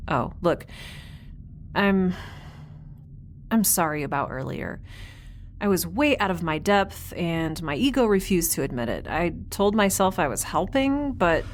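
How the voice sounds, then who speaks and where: An actress playing a frank, principled space crewmate in an audio drama, talking in an earnest, firm, naturalistic way. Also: female voice, lowish voice, lively intonation, measured pace, slight background noise. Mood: gentle, apologetic.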